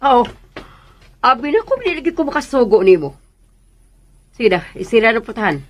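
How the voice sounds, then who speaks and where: A woman's voice: fairly high pitch, big pitch swings, moderate pace, a little hiss or hum. A woman playing a haughty, menacing mistress who gives imperious orders in a Cebuano radio drama. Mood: commanding. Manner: imperious, threatening, commanding.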